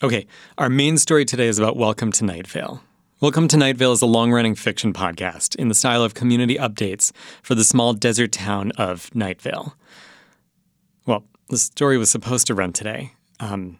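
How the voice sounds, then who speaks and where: A man's voice: lowish voice, no room sound, studio quality. An American man hosting a radio show in a conversational, lightly scripted style. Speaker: radio host. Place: radio studio.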